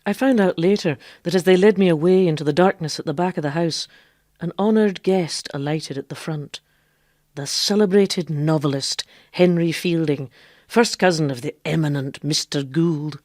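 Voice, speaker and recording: A woman's voice: sits low, normal speed, darkish tone, no echo, clean studio sound, lightly acted. An actress in a radio drama playing an impudent, boastful female quack doctor who lives as a man. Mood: wry recounting. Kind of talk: radio drama acting with narration.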